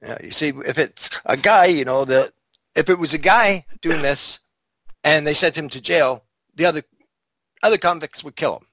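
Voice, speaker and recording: A man's voice: on the high side, normal speed, fairly bright tone, no echo, poor audio. A man co-hosting a podcast in casual, opinionated chat, blunt and provocative, swearing freely. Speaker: podcast co-host.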